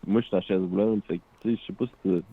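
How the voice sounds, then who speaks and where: Quebec French man in his 30s, very deep and gravelly, heard over a phone-like line, hesitant. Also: fairly even intonation, racing. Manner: matter-of-fact, hesitant, many restarts. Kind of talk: casual chat.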